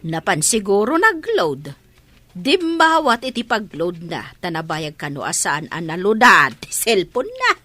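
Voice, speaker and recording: A woman's voice: mid-range voice, huge pitch swings, unhurried, sharp tone, no room sound, faint music behind, exaggerated voice. A woman playing a middle-aged, scolding mother in a radio comedy-drama, nagging and reproachful, with an Ilocano Filipino accent. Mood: exasperated, reproachful. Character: scolding, exasperated older mother of grown children.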